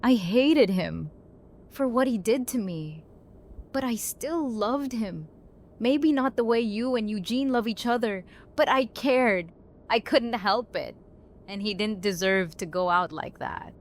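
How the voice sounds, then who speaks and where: A woman's voice: on the high side, animated, unhurried. A woman playing a tough, grieving young survivor in an audio drama, speaking in a blunt, weary way. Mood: grieving, bitter. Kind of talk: fan audio drama acting.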